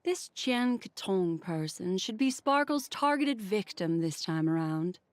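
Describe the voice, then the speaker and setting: A woman voicing a composed, enigmatic detective in a video game, with a measured and poised manner. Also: medium pitch, lively intonation, dry recording, close to an everyday voice. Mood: calm deduction. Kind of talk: video game character acting. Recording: music behind voice.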